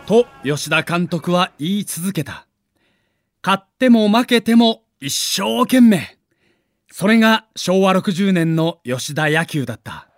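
A male storyteller narrates a scripted sports documentary with a Kansai accent. His voice sits high and swings widely in pitch, giving the telling a theatrical, dramatic shape. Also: expressive, slow pace, dry recording, studio quality. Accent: Kansai Japanese.

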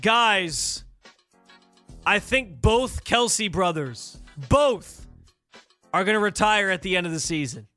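A young man hosting casual sports talk radio, bantering quickly and poking fun at himself. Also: sits high, lively intonation, bright, forceful tone, soft background music. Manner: quick, self-deprecating banter. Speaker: radio host. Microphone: studio microphone.

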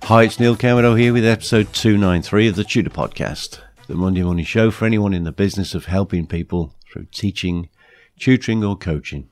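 A British man hosting a podcast with a blunt, plain-spoken manner, his voice croaky from flu. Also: on the low side, brisk, hardly any pauses, dry recording, soft background music. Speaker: podcast host. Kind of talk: podcast monologue, anecdotes and advice.

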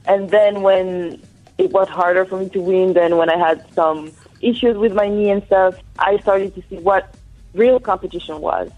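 A young woman from France answering interview questions in English, soft-spoken and halting, with pauses. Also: medium pitch, faint music behind. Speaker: guest, athlete. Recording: tinny phone-like line.

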